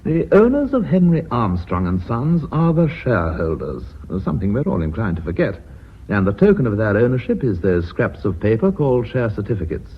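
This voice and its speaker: A stuffy formal chairman, orotund and lively pitched, dull old radio drama sound. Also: fairly quick, breathy edge. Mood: grave, explanatory. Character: measured, formal, pompous company chairman with a title. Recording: dull, muffled radio recording.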